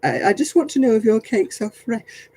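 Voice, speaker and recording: A man's voice: high voice, big pitch swings, moderate pace, warm tone, slightly rough, dry recording, pitched above the performer's own voice, full character voice. A man putting on the voice of a snobbish, social-climbing middle-aged woman who is prim and easily affronted.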